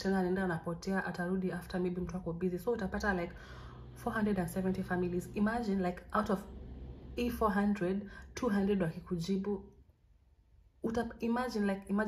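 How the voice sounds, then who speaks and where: A young Kenyan woman in her twenties talking through a casual tutorial, mixing Swahili and English in a chatty, encouraging way. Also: mid-range voice. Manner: chatty, encouraging, switches between languages. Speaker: vlogger.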